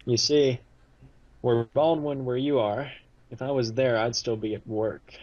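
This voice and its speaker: A man's voice: medium pitch, steady tone, unhurried, darkish tone, husky, no echo. A man chatting casually as a podcast guest over a lo-fi voice call. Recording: dull, lo-fi voice call.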